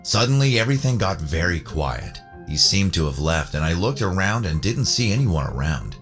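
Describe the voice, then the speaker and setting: Very deep male narrator over music. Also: sing-song, normal speed, a bit bright. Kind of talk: scripted first-person story narration. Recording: music bed under the voice. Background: background music.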